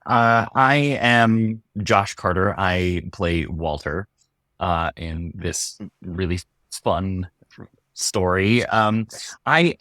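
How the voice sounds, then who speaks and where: Male actor in interview, very low register, dry sound, halting conversational delivery. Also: bright, forceful tone, no room sound. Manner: halting, thinking aloud. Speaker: actor interviewed about his show. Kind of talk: casual panel chat.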